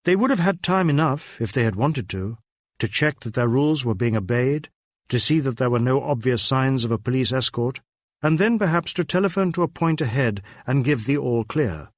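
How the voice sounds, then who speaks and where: A man reading a detective novel as a narrator, in a measured, deliberate storytelling manner with careful phrasing. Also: medium pitch, lively intonation, dry recording, clean studio sound. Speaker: audiobook narrator.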